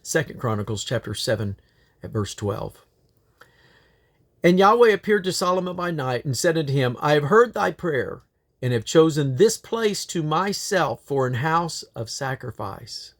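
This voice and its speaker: A man's voice: fairly high pitch, very animated, moderate pace. A man reading scripture aloud in a solemn, declamatory way, with the occasional slip and correction. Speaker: Bible reader.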